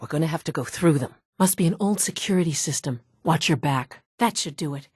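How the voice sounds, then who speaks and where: A woman's voice: deep voice, fairly quick, darkish tone, husky, no room sound. A woman playing a brusque, dry-witted scientist from an underground institute in a video game, businesslike and wry. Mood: tense, urgent. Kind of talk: video game character dialogue.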